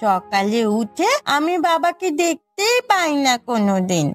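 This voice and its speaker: A pouting little village schoolgirl in a cartoon. Her voice is very high and sulky, with light music behind it. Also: sing-song, unhurried. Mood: pleading, annoyed. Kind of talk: Bengali moral cartoon dubbing.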